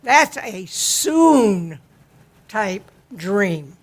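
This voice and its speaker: A woman speaking at a church service in a slow, deliberate, homespun way, with what she calls a low voice. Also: sing-song. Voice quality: describes her own voice as low. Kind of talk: gentle spoken reflection and hymn lead-in. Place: church sanctuary.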